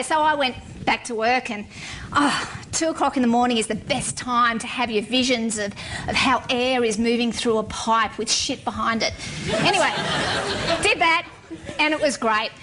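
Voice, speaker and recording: A woman's voice: fairly high pitch, normal speed, background noise. A middle-aged woman, a civil engineer, giving a frank, wry talk to an audience. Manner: frank and wry, with blunt humor.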